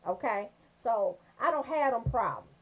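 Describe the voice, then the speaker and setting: High-pitched, animated woman radio comedian. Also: normal speed, low-quality recording. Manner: brash, animated storytelling with emphatic check-ins. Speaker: radio show host. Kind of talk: comedic radio monologue, raunchy banter.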